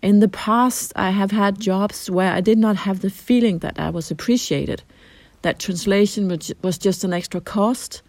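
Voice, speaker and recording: A middle-aged Danish woman with a Danish accent, answering interview questions in a measured way with frequent ums and restarts. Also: medium pitch, a little mellow, dry recording. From Denmark. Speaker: translator interviewee.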